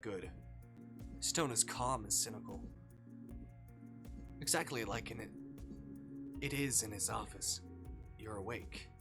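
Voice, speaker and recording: A man livestreaming, reading a visual novel aloud in a dramatic, hushed storytelling way. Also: fairly low pitch, unhurried, long pauses. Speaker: streamer. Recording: faint music behind. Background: faint music.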